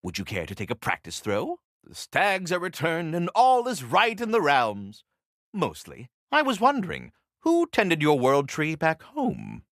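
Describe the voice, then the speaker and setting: A man's voice: fairly high pitch, huge pitch swings, unhurried, fairly bright tone, dry recording, studio quality, heavily acted. A man voicing a polite, fussy magical squirrel from a video game, speaking in courtly, wordy, butler-like formality. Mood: polite, attentive. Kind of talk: theatrical video game character dialogue.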